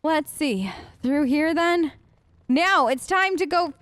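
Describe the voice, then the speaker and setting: A woman's voice: high-pitched, takes it slowly, a bit bright, no echo, studio quality. A chatty woman doing role-play let's play commentary, answering game characters as if she were one of them. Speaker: gaming host, player.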